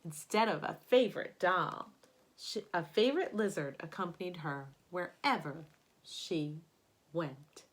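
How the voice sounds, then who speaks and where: A woman reading a picture book aloud like a teacher at bedtime, with expressive emphasis on key words. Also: lowish voice, measured pace, poor audio.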